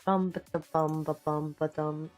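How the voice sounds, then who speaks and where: A woman's voice: deep voice, little pitch movement, normal speed, a little mellow. A young woman in her late twenties talks casually with her stream chat in a chatty, rambling way. She breaks off into laughing asides. Faint music plays under her voice. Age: late 20s. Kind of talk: casual live-stream chat while working.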